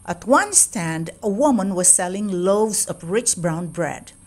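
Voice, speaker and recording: Slow-paced woman reading a children's story. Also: medium pitch, lively intonation. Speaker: storybook narrator. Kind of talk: expressive scripted children's read-aloud.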